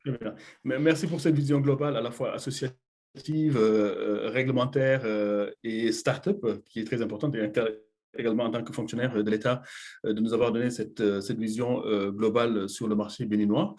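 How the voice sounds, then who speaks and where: A man's voice: mid-range voice, fairly even intonation, moderate pace, a little mellow. Hesitant man moderating a panel. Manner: hesitant, many fillers.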